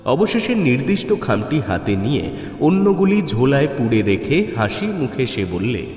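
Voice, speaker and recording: Male horror-story narrator, expressive and measured, animated pitch, long reverb with music beneath. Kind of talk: dramatic first-person horror story narration. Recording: echoey reverb, music underneath.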